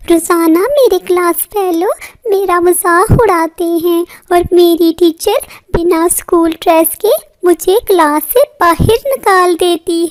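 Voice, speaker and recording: Very high, childlike little girl bird. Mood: sulky, upset. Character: poor young girl bird, proud, pleading schoolgirl daughter. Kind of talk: Hindi cartoon moral story acting.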